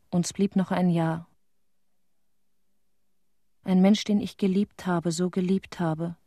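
An actress playing a tender, haunted middle-aged widow in a radio drama monologue, speaking in measured, restrained short sentences with pauses. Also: female voice, fairly low pitch, mellow, no room sound, clean studio sound. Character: grieving widow of a Chernobyl victim, tender, haunted.

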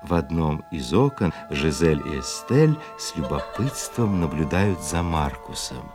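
Very deep male narrator over music. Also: sing-song, a little slow. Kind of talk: scripted scene-setting narration for radio play. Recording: music under the voice.

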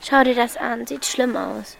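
A woman's voice: a little high, fairly even intonation, measured pace, vocal fry, a bit bright, faint music behind, full character voice, poor audio. An actress playing a feisty thirteen-year-old girl in a naturalistic radio drama, blunt, impatient and slangy. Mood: worried.

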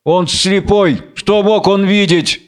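A man reading the part of a tall, haughty, calm Philistine warrior, cool and terse, in a biblical tragedy. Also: high-pitched, takes it slowly, clean tone, voice pushed up. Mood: cool disdain.

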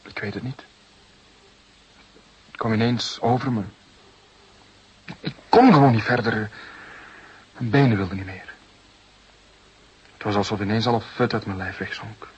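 An actor playing a sullen, defeated young burglar under questioning in a radio play, giving guarded, brooding answers. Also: male voice, fairly low pitch, measured pace, long pauses, breathy edge, lo-fi sound. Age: young adult. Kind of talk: Dutch radio play acting.

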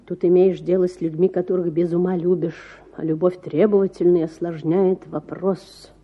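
A woman's voice: fairly low pitch, little pitch movement, normal speed, dull audio, natural voice. A woman playing a perplexed, cultured middle-aged father in a storybook, musing with rhetorical self-doubt.

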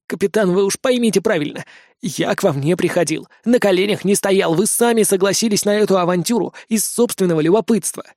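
A man's voice: sits high, brisk, darkish tone, no echo, studio quality, natural voice. A man doing a weary centuries-old alien. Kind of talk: audiobook character voice.

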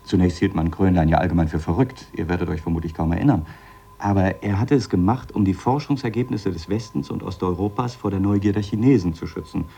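An actor playing an eager scientist in a 1970s German radio drama, explaining bold theories in an insistent, professorial way. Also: male voice, low-pitched, fast, creaky, dark tone, breathy edge, exaggerated voice. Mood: earnest lecturing.

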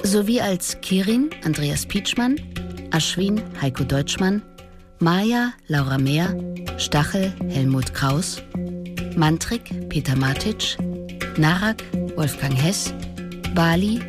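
A woman's voice: lowish voice, expressive, slow pace, pauses often. A woman reading a scripted credits announcement for a radio production. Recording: loud music behind the voice.

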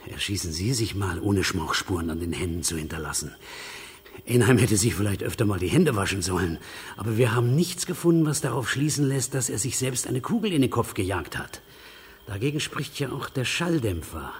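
An actor playing a sardonic, lecturing forensic investigator with a very husky, raspy voice in a radio crime drama. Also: male voice, on the low side, big pitch swings, brisk, exaggerated voice. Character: sardonic, know-it-all male forensic investigator.

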